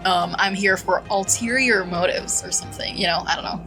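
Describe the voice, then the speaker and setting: A woman's voice: medium pitch, fairly quick. A woman giving casual, conversational playthrough commentary, skeptical and thinking aloud as a gaming streamer. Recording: faint game music behind.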